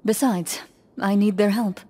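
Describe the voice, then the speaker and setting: A woman playing a composed, stern, principled duelist in a video game, speaking in a measured, firm way. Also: mid-range voice, steady tone, warm tone, slight background noise, natural voice. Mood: calm, encouraging. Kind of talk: video game dialogue acting.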